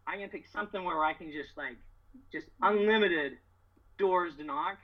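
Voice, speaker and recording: A middle-aged American man with a husky voice, telling stories as a podcast guest in casual, slangy, emphatic, boastful talk. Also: high voice, normal speed, muffled recording. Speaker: podcast guest, door-to-door solar salesman. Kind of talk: casual, animated storytelling.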